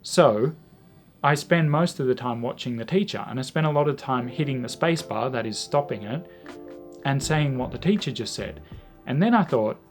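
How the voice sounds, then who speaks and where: A man's voice: mid-range voice, expressive, normal speed, faint music behind. A man talking casually to camera about language learning, reflective and self-deprecating, with many "like"s.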